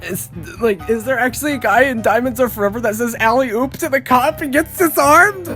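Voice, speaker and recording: A woman's voice: a little high, brisk, fairly bright tone, soft background music. A woman casually reading out a script and commenting on it as host.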